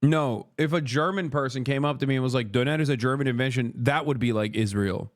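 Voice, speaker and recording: A man's voice: medium pitch, a little fast. A man from Turkey in his thirties, streaming live while eating and trading profane banter with his chat. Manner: profane, bantering, reads chat aloud and argues with it.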